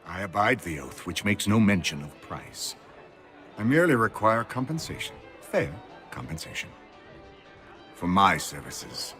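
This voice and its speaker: An actor playing a cynical, mercenary colonial physician in a video game, arguing in a theatrical, emphatic, rhetorical way. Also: male voice, low-pitched, very animated, unhurried, bright, forceful tone, faint music behind, heavily acted. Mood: self-justifying. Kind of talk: video game cutscene acting.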